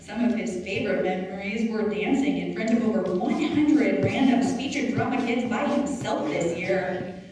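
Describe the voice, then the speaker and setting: A woman's voice: medium pitch, moderate pace, a crowd in the background. A young woman giggling as she reads out an introduction of a performer. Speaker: presenter. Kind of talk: read-out introduction. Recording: echoey hall from far off.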